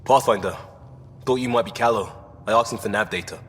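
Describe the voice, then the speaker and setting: A man playing a gruff crewman in a video game, speaking in terse, clipped phrases. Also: fairly low pitch, a little fast, slight background noise, natural voice. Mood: grim. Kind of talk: video game dialogue acting. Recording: long reverb with music underneath.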